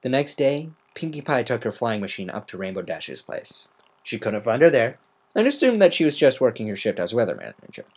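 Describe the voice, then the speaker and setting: Amateur reader narrating a story, semi dramatic, lively up and down pitch. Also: a little fast, breathy edge.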